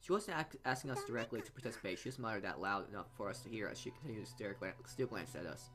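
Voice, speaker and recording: A man's voice: lowish voice, animated, rapid-fire. A man reading visual novel narration aloud as a let's play host, stumbling and repeating words as he goes. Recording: game music behind the voice. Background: game music.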